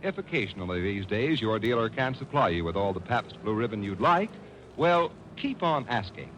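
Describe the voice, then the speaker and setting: A man's voice: lowish voice, very animated, normal speed, fairly bright tone. A man announcing a 1940s radio commercial in emphatic, polished sales patter. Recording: noisy old 1940s radio broadcast.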